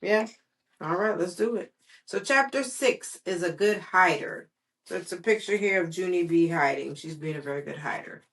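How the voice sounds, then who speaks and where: A woman's voice: fairly low pitch, normal speed, a bit bright. A woman reading a children's story aloud expressively, with casual, patient asides to a child.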